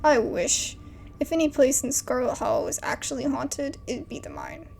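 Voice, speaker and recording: A woman's voice: high voice, lively intonation, normal speed, vocal fry, a little mellow, slight background noise. A woman voicing a cheerful young ghost hunter with a teasing, breezy way of talking.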